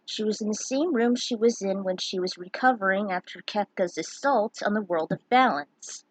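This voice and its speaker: A woman reading scripted fan fiction narration aloud, in a slightly halting manner. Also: medium pitch, no echo, poor audio.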